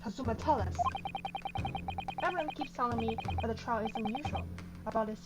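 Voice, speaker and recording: Loud game music plays under a woman with a high-pitched voice. She is streaming a playthrough from home, reading the on-screen dialogue aloud. Her delivery is informal and reactive, as if she is chatting to herself. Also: fairly quick. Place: bedroom at home.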